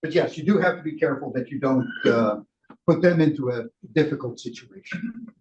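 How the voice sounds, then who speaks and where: An older man leading a casual cycling training session, speaking loudly and chatting with the group. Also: medium pitch, a little fast, slight background noise. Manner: loud, chatty, laughs between points. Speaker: ride leader trainer. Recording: roomy, dull lo-fi room recording.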